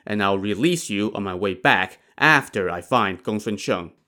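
A man's voice: fairly low pitch, expressive, normal speed, bright, forceful tone. A man playing a sly, teasing magician. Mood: commanding. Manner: mock-serious, instructing. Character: sly, teasing male magician, calmly in charge.

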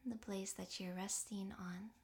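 A woman guiding a relaxation meditation in a soft, breathy, half-whispered voice, gently and with long pauses. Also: mid-range voice, flat delivery. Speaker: yoga nidra meditation guide.

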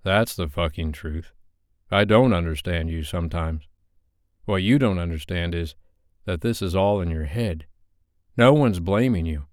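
A man's voice: sits low, measured pace, dry recording, clean studio sound. A man voicing a stern, dominant boyfriend in an audiobook, speaking in a firm, clipped way.

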